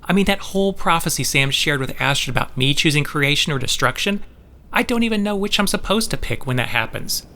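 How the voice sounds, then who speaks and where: A man's voice: on the high side, lively intonation, a little fast, pushed and bright. An actor playing a mild-mannered, troubled man in an audio drama, hesitant and introspective in a conversational way. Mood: troubled, earnest. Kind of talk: scripted audio drama acting.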